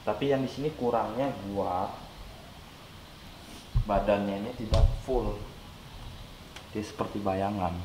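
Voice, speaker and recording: A man's voice: on the low side, unhurried, pauses often. A young man chatting casually through an unboxing, his voice nasal and stuffy from a cold, rambling with many fillers. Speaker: unboxing vlogger. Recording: echoey room with clear background noise.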